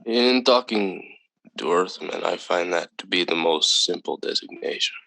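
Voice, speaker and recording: Creaky, low-voiced, courteous alien visitor. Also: male voice, pushed and bright, no room sound, exaggerated voice, rough recording. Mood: calm, assertive. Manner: formal, measured, polite. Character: calm, courteous, mysterious male visitor from another planet. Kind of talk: old-time radio drama acting.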